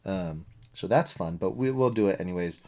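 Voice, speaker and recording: A man's voice: low-pitched, normal speed, dark tone. A man streaming live game development, talking to himself with many fillers and joking asides in a soothing voice. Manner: rambling, self-talk with many fillers and asides. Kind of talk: live coding stream, casual narration.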